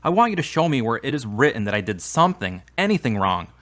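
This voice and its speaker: An actor plays an earnest young man in an audio drama. His mid-pitched voice swings widely, with an edge of frustration. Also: big pitch swings, fast talker, a bit bright. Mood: frustrated.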